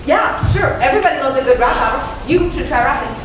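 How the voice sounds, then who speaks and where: Noisy echoey hall, woman acting upbeat bossy teen girl, animated high voice, stage play. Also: quick pace, distant mic. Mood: upbeat, persuasive. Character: upbeat, bossy teenage girl party host. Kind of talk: amateur school stage acting.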